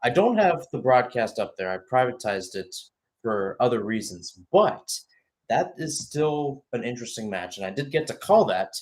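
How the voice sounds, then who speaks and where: A man's voice: medium pitch, very animated, normal speed. A young man doing live radio-style soccer play-by-play, with conversational analysis that wanders and corrects itself. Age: young adult.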